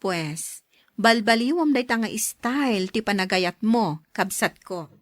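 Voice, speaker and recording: An actress playing an outspoken, caring sister in an Ilocano radio drama. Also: female voice, medium pitch, lively intonation, a little slow, no room sound, studio quality, close to an everyday voice. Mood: advising, chiding. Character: outspoken, caring sister who scolds her brother.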